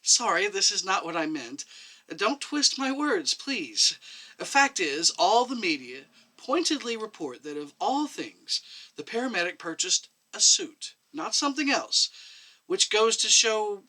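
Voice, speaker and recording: A man's voice: high voice, big pitch swings, measured pace, bright, forceful tone, pitched above the performer's own voice, heavily acted, poor audio. A man voicing a timid female doctor in a story, hesitant, stammering and defensively pleading. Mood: flustered, pleading.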